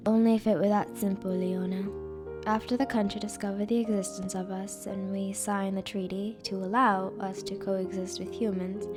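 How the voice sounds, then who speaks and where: A woman playing a composed vampire council member in a scripted roleplay, with formal, measured delivery. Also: mid-range voice, close to an everyday voice.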